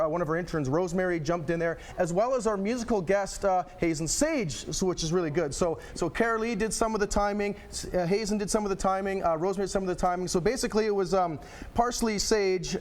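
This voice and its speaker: Fast-talking, high-pitched man hosting. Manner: jokey, punning, chatty. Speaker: TV show host. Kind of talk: casual unscripted TV presenting.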